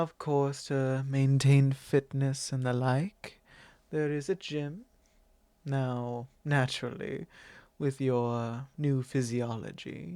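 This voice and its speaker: A man playing a possessive, genteel vampire lord in an ASMR roleplay, speaking formally and haughtily to the listener with frequent pauses. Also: medium pitch, creaky, darkish tone, no echo. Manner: measured, formal, haughty, pausing often. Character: possessive, genteel male vampire lord, commanding and self-assured.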